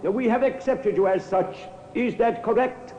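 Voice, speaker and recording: An actor playing a formal, probing defending counsel who speaks deliberately and rhetorically in old-time radio courtroom style. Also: male voice, high-pitched, warm tone. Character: eloquent, probing male defending counsel pleading to a jury. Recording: dull, echoey old radio recording, long reverb.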